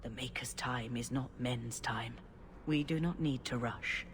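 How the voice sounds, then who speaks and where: Old priestess, deep for a woman, measured, gentle, solemn, fantasy game, clear ambient noise. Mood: serene, instructive. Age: older. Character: serene, patient elderly female high priestess. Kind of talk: video game voice acting.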